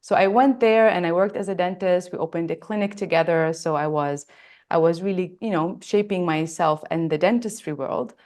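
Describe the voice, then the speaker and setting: A woman's voice: fairly low pitch, moderate pace, darkish tone. A woman from Lebanon, in her thirties or forties, telling her own story earnestly and emphatically as an interview guest. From Lebanon, born in Australia. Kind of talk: reflective personal storytelling in an interview.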